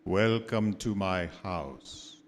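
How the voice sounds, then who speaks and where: A man playing a sinister, courtly vampire count in a gothic radio drama, slow, deliberate and formal. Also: low-pitched, animated, a little hiss or hum, exaggerated voice. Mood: sinister courtesy. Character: sinister, courtly Count Dracula, posing also as coachman.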